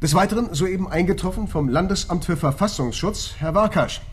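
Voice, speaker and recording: An actor playing a formal senior police detective, brisk and officious, in a naturalistic radio crime drama. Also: male voice, high-pitched, faint music behind, lightly acted. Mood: formal, businesslike.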